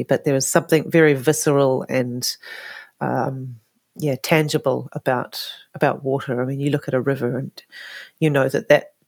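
Low-voiced New woman, thoughtful. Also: darkish tone, dry recording, studio quality. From New Zealand. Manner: thoughtful, many restarts and fillers. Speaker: guest, author and poet. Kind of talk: hesitant conversational interview.

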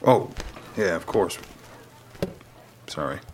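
A man playing a steady, easygoing family member in an audio drama, unruffled and dry. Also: deep voice, takes it slowly, a little hiss or hum.

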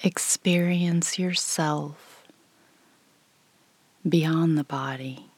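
A woman leading a guided meditation in a soft, breathy, near-whispered voice, gently and without hurry, leaving long pauses. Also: deep voice, steady tone, no echo. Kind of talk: guided meditation, slow calm instruction.

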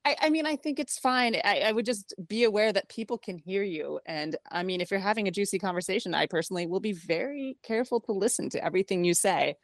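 A woman's voice: medium pitch, fast, hardly any pauses, no room sound, studio quality. A woman tech reporter, a radio guest, talking in a chatty, wry way and laughing easily. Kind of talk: radio interview.

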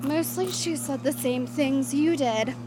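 Spoiled actress, early thirties: condescending, unhurried, slightly high voice, audio drama. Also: female voice, background noise. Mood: self-assured. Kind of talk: naturalistic audio drama acting.

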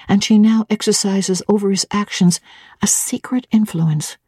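A woman giving a dramatic, deliberate and expressive reading of a literary novel as an audiobook narrator. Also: medium pitch, slightly rough, no room sound, clean studio sound.

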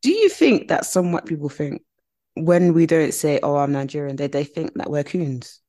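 A woman's voice: low voice, expressive, normal speed, dark tone. A young woman in casual podcast chat, talking with lots of "like" fillers and acting out what people say. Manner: chatty, many "like" fillers, acts out quoted people.

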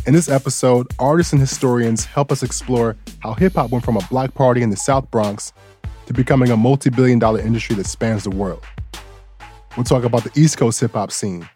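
Mellow-voiced man narrating a news podcast. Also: mid-range voice, a little fast, soft background music. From Bangkok, Thailand. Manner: relaxed, personal, with light joking. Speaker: guest host and audio engineer.

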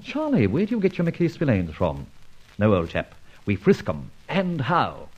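An actor playing a suave, debonair gentleman adventurer in an old radio serial, urbane, wordy and teasing in a mock-formal way. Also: medium pitch, huge pitch swings, normal speed, dull audio, a little hiss or hum.

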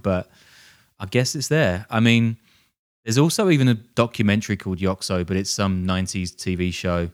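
A man hosting a podcast, telling a crime story in a jokey, self-deprecating way and laughing as he goes. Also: sits low. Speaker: podcast host. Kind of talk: casual storytelling chat.

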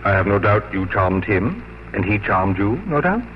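An actor playing a fussy, pushy older gentleman father in a 1950s radio drama, pompous and emphatic. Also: on the low side, very animated, normal speed. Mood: eager. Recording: muffled, noisy 1950s radio recording.

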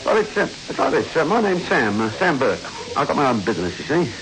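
An actor playing a genial but hesitant truck owner in a 1960s radio drama, stumbling through his offers with frequent pauses. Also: medium pitch, big pitch swings, a crowd in the background, natural voice, low-quality recording. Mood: hesitant, businesslike. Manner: hesitant, full of pauses and uhs. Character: genial, shifty-hesitant male truck owner hiring a driver.

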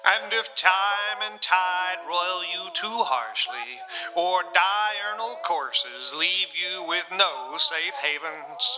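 A man's voice: sits high, lively intonation, takes it slowly, over music, full character voice, poor audio. A man playing a courtly, prim, doting husband in an old-time radio show, florid and formal in a folksy way. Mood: florid, earnest.

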